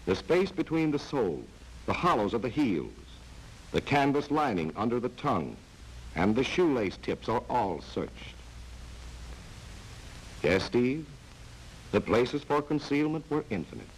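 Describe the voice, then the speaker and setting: Sardonic vintage film narrator, sweeping intonation. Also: male voice, mid-range voice, big pitch swings, breathy edge, exaggerated voice, poor audio. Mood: methodical, wry. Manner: sardonic, taunting, measured dramatic delivery. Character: sardonic male narrator taunting a captured spy. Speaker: narrator of a spy training film. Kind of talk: 1940s dramatized training film narration, addressing the character directly. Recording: hissy vintage 1940s film soundtrack.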